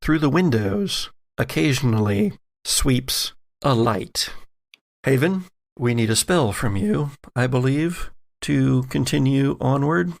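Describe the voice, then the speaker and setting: A man running a tabletop role-playing game as host, narrating deliberately and dramatically in short phrases. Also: mid-range voice, animated, gravelly, no echo, studio quality. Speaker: game master and podcast host.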